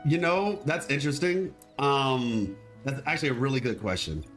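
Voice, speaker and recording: A man with a deep voice chatting casually as a lore video host, rambling and restarting his sentences. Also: moderate pace, a bit bright, faint music behind. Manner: rambling, hesitant, full of restarts. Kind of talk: casual chat.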